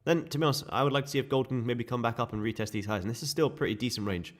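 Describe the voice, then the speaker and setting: Croaky-throated man talking trades. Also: medium pitch, rapid-fire. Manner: casual, chatty explaining to viewers. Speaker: day trading streamer. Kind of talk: live trading commentary over charts.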